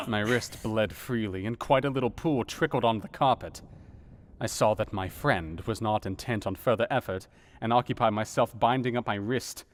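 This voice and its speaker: A man's voice: lowish voice, lightly acted. A man voicing an earnest asylum doctor. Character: asylum doctor writing his diary. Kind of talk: radio play narration.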